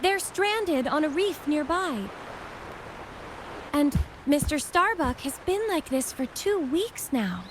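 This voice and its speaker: A woman voicing an earnest, kindly young healer of a religious order in a video game, speaking gently. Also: sits high, lively intonation, slow pace, background noise. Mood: thoughtful, concerned.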